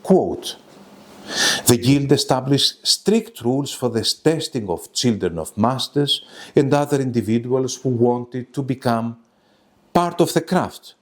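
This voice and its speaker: Formal male lecturer with lively pitch. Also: measured pace. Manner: formal, bookish, announces quotations. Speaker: academic lecturer presenting a web seminar. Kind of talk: scripted academic presentation, reading quotations.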